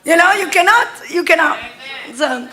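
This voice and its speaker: A woman in her forties from Italy with an Italian accent, giving a testimony sermon at a church service in lively storytelling fashion and laughing often. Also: very high-pitched, moderate pace, heavy background noise. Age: 40s. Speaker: guest preacher.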